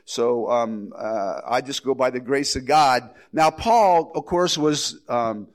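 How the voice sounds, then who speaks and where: A man's voice: medium pitch, slow pace. A man with a slight cold preaching a casual, rambling verse-by-verse sermon as pastor. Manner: rambling, folksy, with restarts and asides. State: has a head cold.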